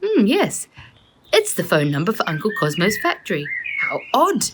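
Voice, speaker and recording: A woman's voice: medium pitch, wildly swooping pitch, moderate pace, background noise. A woman voicing a thoughtful, puzzled father who muses with emphasis in a children's storybook. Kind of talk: children's storybook read aloud.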